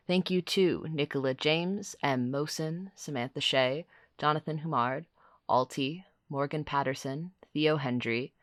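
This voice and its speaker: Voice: mid-range voice, a bit bright, no room sound, studio quality. A podcast host giving a friendly scripted announcement and reading out a list of credits.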